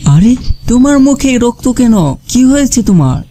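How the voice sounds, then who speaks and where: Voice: mid-range voice, very animated, measured pace, warm tone, a little hiss or hum. An actor voicing a concerned, cautioning village woodcutter husband in a cartoon. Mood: alarmed. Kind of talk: dubbed cartoon dialogue.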